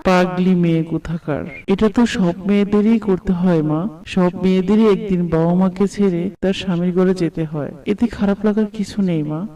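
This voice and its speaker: A man's voice: high voice, steady tone, a little fast, dark tone, recorded from a distance, close to an everyday voice, rough recording. A man voicing a doting, affectionate middle-aged father in a moral cartoon. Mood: consoling.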